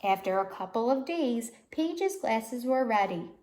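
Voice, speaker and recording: A woman reading a children's storybook aloud like a teacher, pausing to explain words and put questions to listeners. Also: a little high, lively intonation, darkish tone. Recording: roomy, echoey sound.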